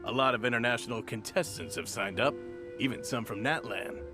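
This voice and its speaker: A man's voice: mid-range voice, lively intonation, brisk, music in the background, close to an everyday voice. A man voicing a polite, formal male knight officer, acted for a game. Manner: courteous, formal. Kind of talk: game voice acting.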